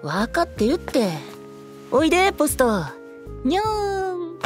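A man's voice: very high voice, sing-song, a little slow, faint music behind, heavily acted. A male actor playing a cute, vain, bratty teenage boy idol in a Japanese audio drama, pouty and whiny. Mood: playful, cutesy. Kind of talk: Japanese anime-style audio drama acting.

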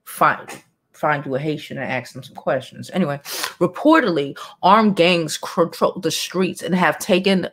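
Blunt Brooklyn woman vlogger, lowish voice. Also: normal speed. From Brooklyn, New York. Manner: blunt, profane, frequent sighs and asides. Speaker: celebrity news vlogger. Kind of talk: casual commentary, reading news aloud. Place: home studio with neon sign, indoors.

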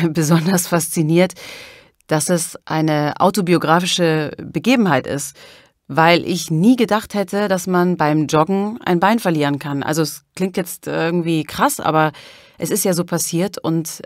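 A woman giving reflective interview answers, thoughtful and halting as she searches for words. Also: on the low side, no echo, clean studio sound. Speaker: interviewed audiobook narrator.

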